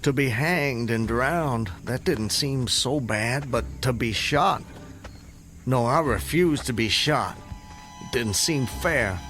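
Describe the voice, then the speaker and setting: Condemned escapee, radio drama, mid-pitched voice, eloquent, formal, measured storytelling, music behind. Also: male voice, fairly bright tone. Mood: dreamy, reflective. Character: condemned man who escaped hanging, recounting it with eloquent intensity. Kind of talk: radio drama acting, first-person narration. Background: music under the voice.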